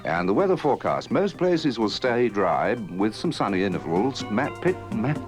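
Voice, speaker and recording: A man's voice: fairly low pitch, very animated, normal speed. A man reading the radio news and weather forecast, stumbling briefly before carrying on. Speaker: newsreader. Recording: archive radio broadcast with music.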